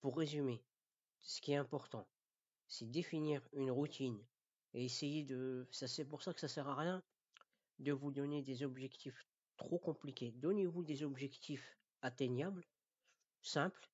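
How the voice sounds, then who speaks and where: A male teacher from France speaks in a mid-pitched voice, explaining things informally to learners. His delivery is halting, with repeated words and frequent checks that they follow. The recording is very dry and a little dull. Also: breathy edge, no room sound. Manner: didactic, checks understanding. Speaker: Quran recitation teacher. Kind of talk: informal spoken lesson addressed to learners.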